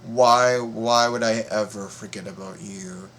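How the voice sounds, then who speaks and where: A man hosting a casual reaction video, talking in a halting, playful way and often repeating his words. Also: on the low side, steady tone, fairly bright tone.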